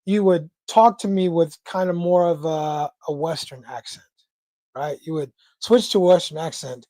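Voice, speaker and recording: A man's voice: a little high, normal speed, dark tone, no echo. An American man co-hosting a livestream, chatting casually in slangy talk and reading out viewer comments. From United States. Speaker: livestream co-host. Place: indoor home livestream.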